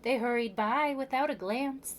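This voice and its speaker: A woman reading a children's picture book aloud as a preschool storyteller, with expressive, measured delivery and emphatic pauses. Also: sits high.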